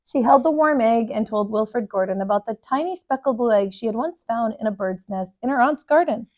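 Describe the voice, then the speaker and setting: A woman reading a children's storybook aloud with deliberate, emphatic, expressive storytelling. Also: on the high side, slight background noise. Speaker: storybook reader, kindergarten teacher.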